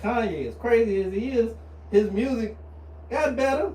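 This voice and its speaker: A man in his thirties, co-hosting a casual podcast chat, telling stories with lively, laughing energy. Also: very high voice, moderate pace. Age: 30s. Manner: animated, laughing storyteller. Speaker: podcast co-host. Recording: roomy sound, distant mic, faint noise.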